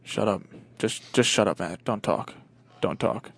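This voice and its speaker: A man's voice: medium pitch, steady tone, takes it slowly, fairly bright tone, no room sound, pitched below the performer's own voice. A man acts out a menacing young man in a told anecdote. He is curt and domineering, giving orders in short, repeated bursts to a friend. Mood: cold, threatening. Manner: barking short, repeated commands.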